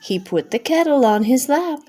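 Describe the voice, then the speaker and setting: A middle-aged or older woman, a grandmother, narrating a children's storybook aloud with simple, expressive phrasing. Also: sits high, normal speed. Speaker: grandmother reading a bedtime story.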